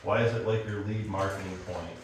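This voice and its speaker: A man's voice: sits low, steady tone, a little fast, slight background noise. A man at a town planning meeting, arguing his point firmly. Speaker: planning commission member. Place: echoey town office meeting room. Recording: echoey room from far off.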